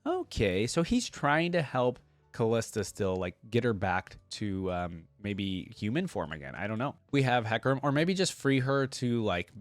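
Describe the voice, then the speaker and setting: A man giving casual reaction commentary, reading aloud and thinking out loud in a conversational way. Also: medium pitch, animated, normal speed, dry recording, soft background music. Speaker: reaction video host.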